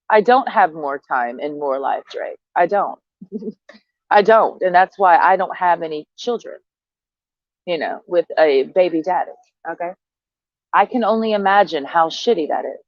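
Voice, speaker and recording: A woman in her thirties talks straight to camera, brash, profane and sarcastic, laughing between her lines. The sound is dry and close, a little dull in the highs. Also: lively intonation, unhurried, dry recording. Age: 30s. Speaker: vlogger. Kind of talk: casual monologue to camera.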